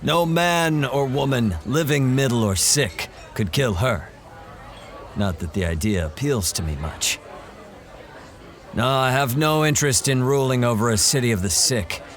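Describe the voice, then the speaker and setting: An actor playing a wry, self-assured monster hunter in an audio drama, calm and measured. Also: male voice, medium pitch, close to an everyday voice.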